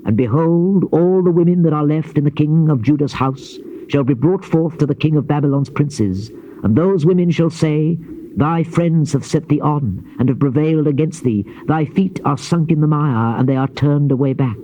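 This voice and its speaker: A man giving a solemn, theatrical, measured reading of dramatized scripture in archaic English, on an old cassette tape. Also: on the high side, mellow, a little hiss or hum, low-quality recording. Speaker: scripture narrator playing the prophet Jeremiah. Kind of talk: dramatized King James Bible reading.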